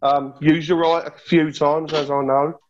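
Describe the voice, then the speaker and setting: A man's voice: a little high, level tone, a little slow, highs cut off, a little hiss or hum. A man, a former footballer, talking in a matter-of-fact, matey way. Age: 50s. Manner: matter-of-fact, matey, says "mate" a lot. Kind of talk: casual reminiscing chat.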